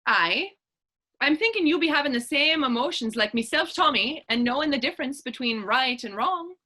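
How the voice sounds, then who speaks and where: A woman's voice: high voice, lively intonation, moderate pace, bright, forceful tone. A woman playing Hannah, a spirited, determined Irish actress and patriot, in staged readers theater dialogue with a coaxing, earnest lilt.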